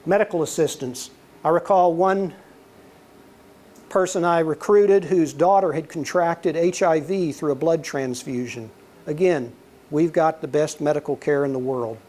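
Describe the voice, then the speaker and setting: A man's voice: fairly high pitch, a little slow. Soothing older man giving a lecture. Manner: relaxed storyteller, acts out quoted dialogue. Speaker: guest lecturer, former intelligence officer. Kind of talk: conversational lecture with anecdotes.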